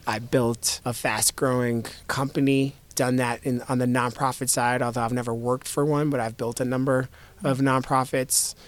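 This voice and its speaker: A man in his thirties from the United States, an investor guest talking reflectively in an interview, in a measured way with repeated starts. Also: mid-range voice, level tone. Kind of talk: conversational interview.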